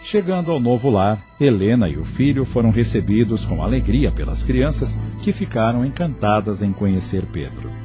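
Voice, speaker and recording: A man's voice: lowish voice, sing-song, normal speed, few pauses, over music. A man with a Brazilian accent narrating an audio novel from a script.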